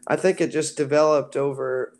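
Raspy band singer guy just chatting, rambling, lots of um and you know. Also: fairly high pitch, moderate pace. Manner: relaxed, rambling with many fillers. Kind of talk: casual interview chat.

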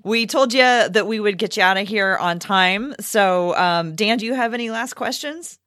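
A woman's voice: mid-range voice, normal speed, a bit bright, no room sound, studio quality. A woman chatting casually as a podcast host. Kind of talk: casual chat.

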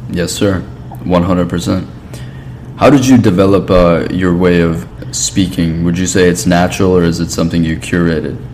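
A man interviewing on a podcast, speaking casually and reflectively in a measured, earnest, agreeable way. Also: sits low, fairly even intonation, darkish tone, slight background noise.

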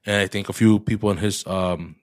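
Young man, congested podcast co-host; low voice, dry sound, loose bilingual chatter. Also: steady tone, brisk, dry recording. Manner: mixes English with Spanish, swears often. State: congested, coughing. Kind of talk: casual podcast chat.

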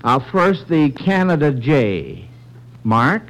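A man's voice: fairly high pitch, sing-song, slow, fairly bright tone, poor audio. A man hosting a 1940s-style radio quiz for children, hesitant, with frequent murmured affirmations. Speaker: quiz show host.

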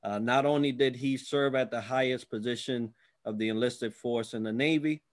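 A man's voice: medium pitch, normal speed. A man hosting an interview podcast, speaking in a deferential, somewhat formal way to his guest. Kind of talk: interview with scripted intro.

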